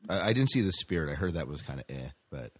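Deep, husky American man, podcast host. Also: very animated, fast talker. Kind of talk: casual chat about science fiction. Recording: roomy internet call recording.

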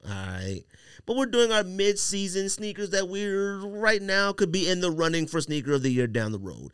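A man hosting a podcast in casual, slangy banter with an animated way of talking. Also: high-pitched, moderate pace, clear, resonant tone, no room sound. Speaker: podcast host.

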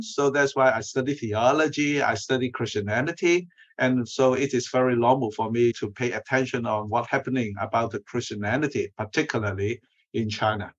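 A man from Hong Kong, a theologian, answering interview questions haltingly, with many fillers. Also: medium pitch, highs cut off. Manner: hesitant, with frequent "uh" and "you know". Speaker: guest theologian.

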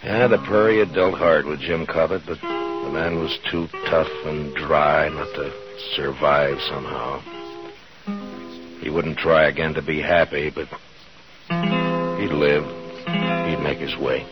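An actor playing a weary, fair-minded frontier marshal in a measured, grave way, in 1950s radio western style. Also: male voice, a bass voice, fairly even intonation, muffled recording, over music. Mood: somber narration. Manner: measured, grave, hesitant pauses. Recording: vintage 1950s radio drama recording.

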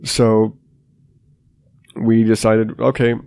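A man's voice: fairly low pitch, completely flat delivery, very slow, warm tone, clean tone, no echo, studio quality. A man in his thirties hosting his own podcast while stuffed up with a sinus infection, talking in a rambling, self-deprecating way with many restarts. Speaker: podcast host. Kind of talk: casual solo podcast monologue.

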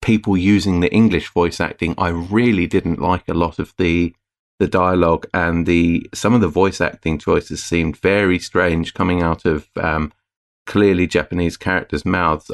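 A man's voice: low-pitched, steady tone, normal speed. A man co-hosting a game podcast, rambling through firm opinions in a casual chat. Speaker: podcast co-host.

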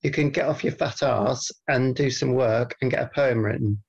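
A man co-hosting a comedy podcast, answering dryly and deadpan. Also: mid-range voice, moderate pace, gravelly, slightly rough, muffled recording. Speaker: podcast co-host. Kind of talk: comic banter.

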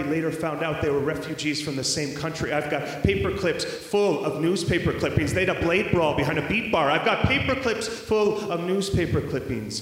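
A man performing spoken word poetry with a rhythmic, dramatic delivery in an echoey hall. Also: on the high side. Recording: echoey hall with long reverb.

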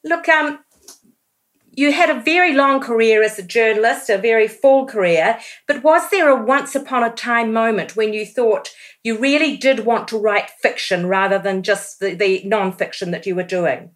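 A woman hosting a book podcast, reading an intro and asking interview questions in a friendly, clear way. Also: fairly high pitch, moderate pace. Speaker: podcast host.

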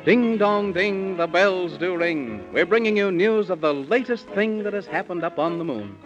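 A man's voice: sits high, lively intonation, moderate pace, soft background music. A man telling a rhyming children's story in a singsong, theatrical 1930s radio style, on a lo-fi old recording. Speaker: storyteller announcer.

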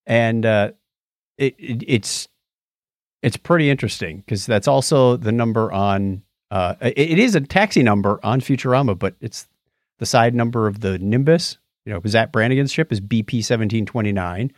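A man talks casually as a podcast co-host, eagerly laying out details like a keen geek. His intonation moves around a lot at a middling pitch. The sound is dry, with very little room in it. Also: animated, normal speed, no echo.

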